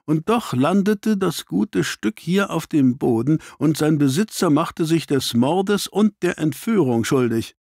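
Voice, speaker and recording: A man reading an audiobook, voicing an analytical, ironic gentleman detective with precise, clipped statements. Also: on the high side, lively intonation, measured pace, gravelly, few pauses, dry recording, studio quality, voice pushed up, full character voice. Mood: matter-of-fact.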